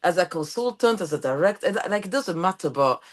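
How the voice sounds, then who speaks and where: A woman from Portugal with a Portuguese accent, hosting a podcast interview and telling stories in a chatty, animated way. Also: on the low side, fast talker. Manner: animated, storytelling with quoted voices. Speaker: podcast host. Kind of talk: casual interview chat.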